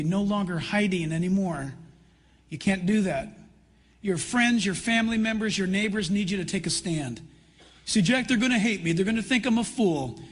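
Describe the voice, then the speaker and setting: Male, American accent, high husky voice, earnest pastor, conversational sermon delivery, deliberate pauses. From California, United States. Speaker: pastor and conference host. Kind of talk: conference address in sermon style. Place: large church hall. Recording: reverberant large hall, live PA sound.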